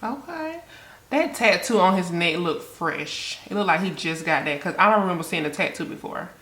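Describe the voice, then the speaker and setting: A woman's voice: fairly low pitch, animated, moderate pace, fairly bright tone, roomy sound. A woman hosting a reaction video, chatting casually and talking straight to her viewers.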